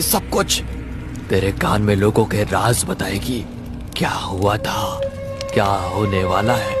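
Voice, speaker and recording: A man playing a boastful, menacing occult ascetic in a horror cartoon story, theatrically repeating key words with relish. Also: mid-range voice. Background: loud background music.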